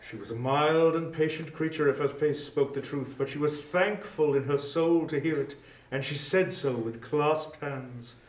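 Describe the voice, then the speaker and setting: A man narrating a story in a solemn, deliberate, theatrical dramatic style. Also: on the high side. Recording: echoey room, distant from mic.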